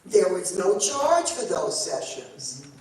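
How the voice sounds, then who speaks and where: A woman's voice: on the low side, sing-song, takes it slowly, darkish tone. A woman congregant asking a long, roundabout question and circling her point with examples. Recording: echoey room, mic far off, faint noise.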